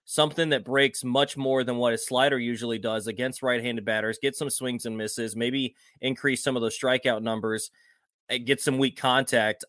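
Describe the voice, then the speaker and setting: A man's voice: mid-range voice, a bit bright, no room sound, clean studio sound. A man co-hosting a sports show, talking through conversational baseball analysis.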